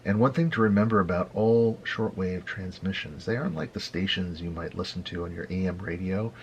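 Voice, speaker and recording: An American man hosting an informal hobby tutorial, explaining things in a halting, conversational and wry way. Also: low voice, muffled recording, slight background noise. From United States. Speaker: host of a hobby radio tutorial.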